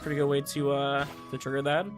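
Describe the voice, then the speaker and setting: A man's voice: mid-range voice, monotone, a little fast. A man livestreaming a game, chatting casually in an enthusiastic, rambling way and often restarting his sentences. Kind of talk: casual chat while streaming gameplay. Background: music.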